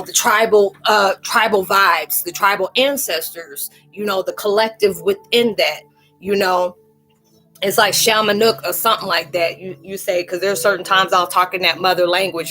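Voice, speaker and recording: A woman hosting a casual spiritual chat in a rambling, animated way. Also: fairly low pitch, low-quality recording. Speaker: live stream host.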